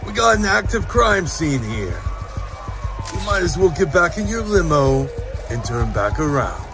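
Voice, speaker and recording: An officious male police officer acted by a man gives orders at a crime scene. His delivery is lively and unhurried, in audio drama style, with music behind. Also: fairly high pitch.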